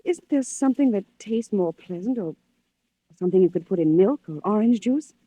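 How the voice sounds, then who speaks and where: Very dark-toned, insistent scheming woman played by an actress. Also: mid-range voice, expressive, moderate pace, a little hiss or hum, close to an everyday voice, low-quality recording. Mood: urgent, conspiratorial. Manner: urgent, pressing. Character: ruthless, insistent female accomplice and lover. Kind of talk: old-time radio thriller acting.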